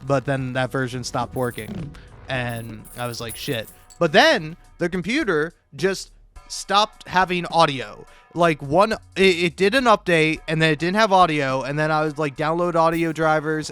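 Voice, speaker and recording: A man's voice: a little high, fairly bright tone. A man doing casual, rambling YouTube gaming commentary, stammering and restarting often, with profane, self-deprecating talk.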